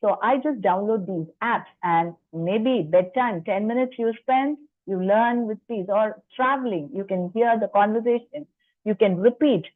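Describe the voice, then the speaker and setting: A woman's voice: medium pitch, measured pace, no echo. A woman lecturer presenting at a faculty workshop, explaining in a chatty way with personal asides and rhetorical questions. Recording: dry tinny phone-like line.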